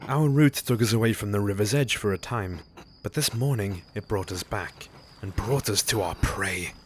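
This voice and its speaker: An actor playing a grim, determined hunter in an audio drama, steady and resolute, with faint background noise. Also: male voice, fairly low pitch, moderate pace, natural voice.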